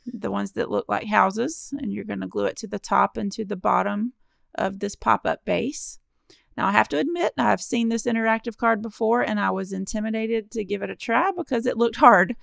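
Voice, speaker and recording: A woman talking through a paper-craft tutorial, friendly and encouraging as she explains each fold. Her voice sits mid-pitch with a strong gravelly fry running through it. The sound is dry and close, with no room around it. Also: dry recording. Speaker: card-making crafter. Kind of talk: step-by-step craft tutorial voice-over.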